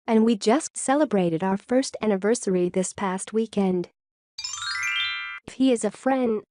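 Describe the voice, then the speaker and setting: A woman reading forum posts aloud in a steady, even reading voice. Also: mid-range voice, no echo, studio quality.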